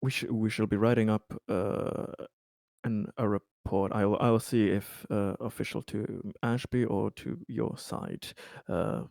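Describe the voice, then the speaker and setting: A man with a low, creaky voice talks as a player in a tabletop roleplaying game. His phrasing is halting and a touch formal, and the sound is close and dry. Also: fairly even intonation, darkish tone, no echo. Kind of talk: improvised in-character roleplay talk.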